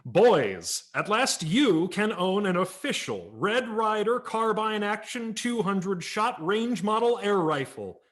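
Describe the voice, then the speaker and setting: A man voicing a hearty cowboy hero who makes a booming, folksy sales pitch from a magazine ad. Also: sing-song, takes it slowly, hardly any pauses, fairly bright tone, exaggerated voice.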